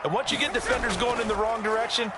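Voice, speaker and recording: A man's voice: very high voice, quick pace, heavy background noise. A man voicing a video game football color commentator with an American accent, explaining in an emphatic, conversational way. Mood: keen, analytical. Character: keen male football analyst, conversational and instructive.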